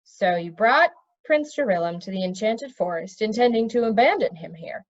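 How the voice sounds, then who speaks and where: A woman's voice: mid-range voice, animated, moderate pace, lo-fi sound, close to an everyday voice. A woman voicing a puzzled, impatient king who questions directly, in a storybook reading. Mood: probing. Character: puzzled, impatient young king of an enchanted forest.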